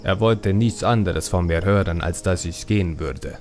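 A man voicing an earnest, thoughtful young male troll in a fantasy audiobook, serious and measured. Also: low-pitched, soft background music, close to an everyday voice. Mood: troubled confiding. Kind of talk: audiobook character acting.

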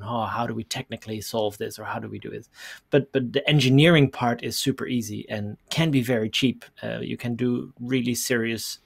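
A man in his thirties from the Netherlands with a Dutch accent, a guest talking about his work in a relaxed, conversational way over a headset on a video call. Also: medium pitch, creaky, husky. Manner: relaxed, conversational, thinking aloud. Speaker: guest, bicycle planner. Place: home room, indoors.

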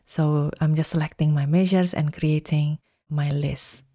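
A woman talks through a software tutorial in calm, step-by-step instructions. Her voice is mellow and low, unhurried, recorded dry and close. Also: no echo. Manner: calm step-by-step instructions, slow deliberate pacing.

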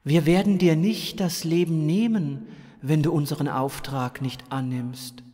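A solemn alien messenger, played by a male storyteller, speaks with slow, weighty authority. The words are drawn out and portentous, as orders handed down to an earthling in a science fiction audiobook. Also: a little high, fairly even intonation, mellow, big-room sound. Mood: grave, imperious.

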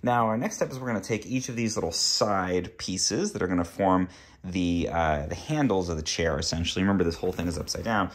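A man hosting a casual how-to video at home, explaining each step in a relaxed way while handling objects in a kids' playroom, filming with a phone. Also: low-pitched, fairly quick, a little hiss or hum. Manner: relaxed and explanatory, with fillers and small laughs. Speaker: DIY video host.

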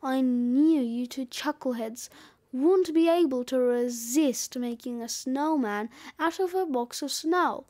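Gruff wise-guy snowman for a children's audiobook, played by a woman: bossy, cheeky, unhurried. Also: sits high, mellow, no room sound. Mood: smug, brash. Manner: slangy, brash, dismissive.

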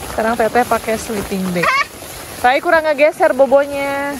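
A woman's voice: sits high, lively intonation, normal speed, noisy background. A woman filming her family on a camping trip, chatting casually and laughing often into a handheld phone. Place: tent and campsite outdoors.

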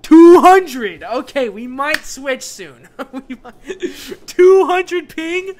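Excitable young man streaming a game. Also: extremely high pitch, a little hiss or hum. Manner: excitable, rambling, self-mocking, quick laughs. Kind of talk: casual live gameplay commentary.

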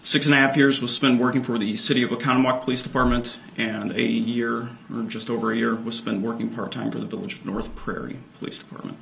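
An American man in his thirties giving precise, measured, formal testimony as a police officer. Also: medium pitch, steady tone, vocal fry, a bit bright, poor audio. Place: indoor courtroom witness stand. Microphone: stand microphone before him. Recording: courtroom microphone with faint noise.

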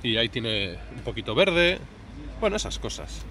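A man's voice: mid-range voice, very animated, moderate pace, bright, forceful tone. A man, giving chatty, colloquial vlog commentary outdoors. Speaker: motorcycle vlogger. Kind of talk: casual off-the-cuff vlog commentary. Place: outdoors in a street parking area. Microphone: handheld phone or camera, speaker behind it. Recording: noisy outdoor urban street.

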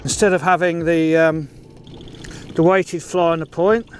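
Higher-pitched middle-aged man outdoors. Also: little pitch movement, unhurried. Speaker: competition angler presenting a fishing film. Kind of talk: casual instructional commentary. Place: open boat on a lake, outdoors. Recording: outdoor water and boat noise.

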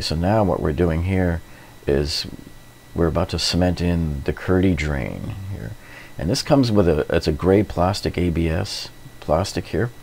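A man's voice: sits low, vocal fry, darkish tone, slight background noise. A middle-aged man narrating a hands-on renovation tutorial in an informal, explanatory way, often repeating himself. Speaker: DIY renovation host.

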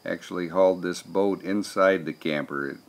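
A man's voice: low voice, moderate pace. A man, narrating casually in a plainspoken, folksy way. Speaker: vlogger narrating fishing trip.

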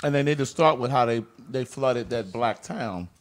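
A man's voice: medium pitch, normal speed, slight background noise. A man chatting casually as a panelist on a talk show. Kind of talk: casual chat.

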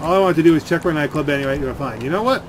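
A man's voice: a little high, rapid-fire. A man giving casual gameplay commentary, rambling and grumbling as he thinks aloud. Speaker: game streamer and video creator. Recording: clear game engine noise behind. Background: video game car and ambient sounds.

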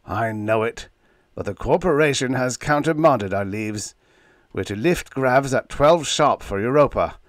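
A man playing a gruff old space captain. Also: mid-range voice, lively intonation, measured pace, no room sound, clean studio sound, pitched above the performer's own voice, natural voice. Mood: stern. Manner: roaring, gruff and blustering.

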